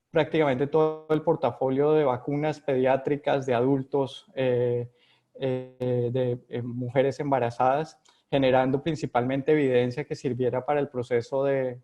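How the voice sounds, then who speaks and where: A man's voice: medium pitch, little pitch movement, unhurried, dark tone, highs cut off. A middle-aged man with a Colombian accent, answering interview questions from home with many hesitations and restarts. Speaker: guest epidemiologist.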